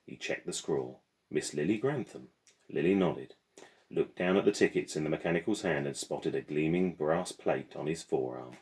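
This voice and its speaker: A man's voice: a bass voice, normal speed, a little mellow. A man reading a children's novel aloud as the storyteller.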